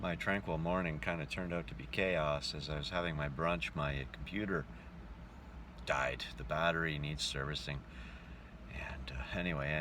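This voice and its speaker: A man talking informally in a casual video diary, thinking aloud as he goes. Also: low voice. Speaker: travelling entertainer and radio show host. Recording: noisy handheld recording with vehicle ambience.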